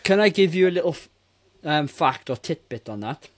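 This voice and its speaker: A man's voice: fairly high pitch, animated, moderate pace, slight background noise. A man in his forties giving blunt, casual opinions on a film as a podcast co-host. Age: 40s. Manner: blunt, mildly sweary.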